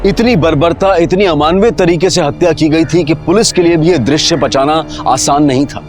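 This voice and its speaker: A man delivers the narration of a television crime show in a grave, measured tone. His voice sits a bit higher than most men's. A clear music bed plays under him. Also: a little mellow. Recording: studio voice-over with music bed.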